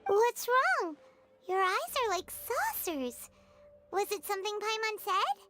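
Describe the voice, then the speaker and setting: A woman voicing a tiny, childlike floating companion in an anime-style game, cheerful and curious, with a squeaky voice and music behind her. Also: big pitch swings, slow pace, bright, forceful tone, full character voice. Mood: curious, concerned. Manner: speaks of herself in the third person, exclaiming.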